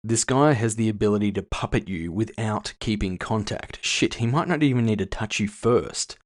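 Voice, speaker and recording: A man's voice: on the low side, moderate pace, natural voice. A man voicing a fierce tusked woman fighter in audio fiction, blunt and aggressive. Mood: angry, frustrated.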